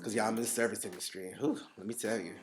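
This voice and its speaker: A man co-hosting a casual chat podcast, animated and quick to laugh, with faint guitar music underneath. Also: fairly low pitch. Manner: animated, laughs often, drawn-out emphasis. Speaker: podcast co-host.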